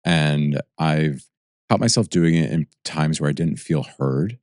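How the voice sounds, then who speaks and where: A man co-hosting a podcast. He speaks in a reflective, measured way. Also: very deep voice, darkish tone, no room sound, studio quality. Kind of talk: podcast conversation.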